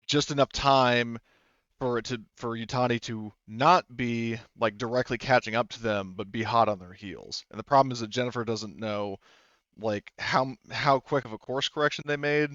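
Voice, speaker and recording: A man with a smooth, clear voice and medium pitch explains things casually at a game table. He is talky and works out his thoughts aloud. The recording is dry and slightly dull. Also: normal speed, a bit bright, no room sound. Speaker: tabletop roleplaying game participant.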